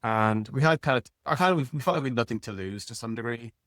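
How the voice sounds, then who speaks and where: A man's voice: medium pitch, quick pace, fairly bright tone, no room sound, clean studio sound. A man speaking as an interview guest in a casual, plain-spoken way, like an executive talking informally about work. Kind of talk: casual conversational interview answers.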